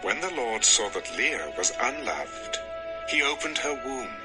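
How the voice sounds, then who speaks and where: A man's voice: medium pitch, very animated, a little slow, over loud music. A man narrating scripture from a script in a dramatic storytelling style.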